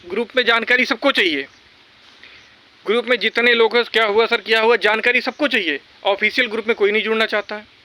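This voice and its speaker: A man's voice: high-pitched, pushed and bright. A man talking informally and with strong opinions straight to his viewers, exhorting them and repeating his points for emphasis. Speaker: vlogger giving recruitment exam updates.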